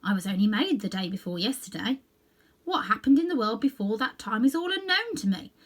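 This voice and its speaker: A woman's voice: on the high side, sing-song, fairly quick. Lady reading as a naive scarecrow guy, earnest simple storyteller, kids book style. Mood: earnest, wistful. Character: earnest, naive male scarecrow telling his life story. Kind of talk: children's storybook character voice.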